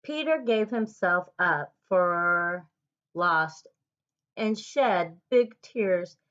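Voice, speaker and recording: A woman reading a children's story aloud, with deliberate pauses between short phrases. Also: on the high side, a bit bright. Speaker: storybook reader. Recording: dull lo-fi recording.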